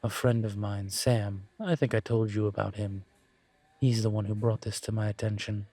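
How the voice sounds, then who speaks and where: A man playing a calm, courteous incubus professor and investigator in an intimate audio roleplay, speaking considerately with careful pauses. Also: lowish voice, no room sound. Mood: discreet, businesslike. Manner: measured, considerate, hesitant pauses. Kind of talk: scripted audio roleplay, intimate one-sided conversation.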